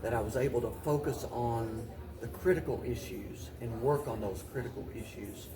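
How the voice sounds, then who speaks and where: Halting older man, roomy distant recording. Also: medium pitch, crowd noise. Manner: halting, reflective, repeats and restarts phrases. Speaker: mayor, talk show guest. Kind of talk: conversational interview answers. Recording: roomy, distant mic, voices in background.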